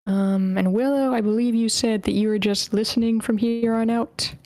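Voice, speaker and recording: महिला होस्ट, बिल्कुल सूखी, बिना गूंज वाली आवाज़. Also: female voice, fairly high pitch, fairly even intonation, moderate pace, darkish tone, no room sound. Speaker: host of an online screenplay table read. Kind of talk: casual chat, moderating an online meeting. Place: online voice meeting, dry close sound.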